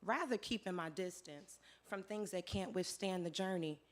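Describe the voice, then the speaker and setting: A hoarse woman performing her spoken word poetry live, in a rhythmic rhyming delivery that swings between soft lines and loud declarations. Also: lowish voice, moderate pace. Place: live venue with audience.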